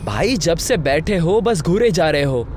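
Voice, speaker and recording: A man performs an irritable young driver in an audio horror tale. The voice is high for a man and very lively in pitch. He talks bluntly and challenges people. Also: measured pace, a little hiss or hum. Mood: angry, demanding. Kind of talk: audio horror story acting.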